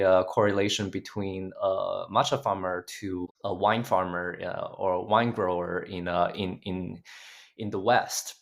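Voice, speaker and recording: Man, low voice, hesitant podcast co-host, frequent uh pauses. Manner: hesitant, many "uh" fillers and restarts. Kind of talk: casual podcast discussion.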